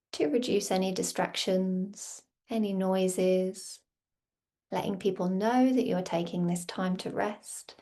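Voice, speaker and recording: A woman leads a guided meditation in a soft, slightly breathy voice of medium pitch. She speaks very slowly, in short soothing phrases. Long, unhurried pauses separate her instructions. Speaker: meditation and yoga teacher.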